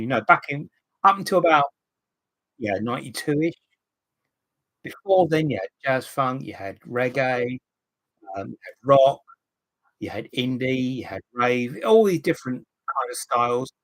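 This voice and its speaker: A man's voice: mid-range voice, husky, no room sound, poor audio. A man, chatting casually on a live stream and answering viewer comments. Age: 50s. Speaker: livestream host.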